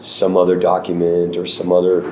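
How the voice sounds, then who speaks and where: A man's voice: low-pitched, completely flat delivery, moderate pace, darkish tone. A man from Chicago, a band's guitarist and singer, talking casually and rambling, repeating words as he thinks. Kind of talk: casual interview chat. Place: noisy music venue. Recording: roomy venue with crowd noise.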